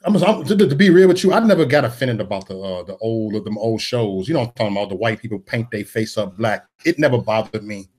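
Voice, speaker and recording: A man co-hosting a casual talk show, laid-back and stammering, using lively slang. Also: on the low side, fast, few pauses, slightly rough. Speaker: co-host.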